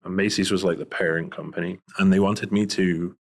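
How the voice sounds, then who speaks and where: A middle-aged man from Liverpool with a Scouse accent, talking through his career as an interview guest in a relaxed way, with many fillers. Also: sits low, a little fast. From Liverpool, England. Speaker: guest, private investigator. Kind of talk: casual interview talk.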